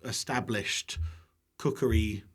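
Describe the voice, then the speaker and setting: A man's voice: fairly low pitch, slow, breathy edge. A British man chatting casually as a podcast guest, playful and self-deprecating, often rambling. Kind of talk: casual chat.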